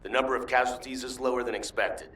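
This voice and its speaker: An actor playing a steady, resolute front-line commander reporting in a video game. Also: male voice, mid-range voice, fast talker, distant mic, close to an everyday voice. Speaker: military commander.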